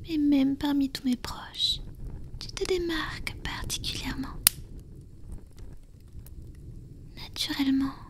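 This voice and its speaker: A woman voicing a tender, affectionate female vampire in a soft, breathy, intimate whisper for an ASMR roleplay. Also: high-pitched. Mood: tender, confiding.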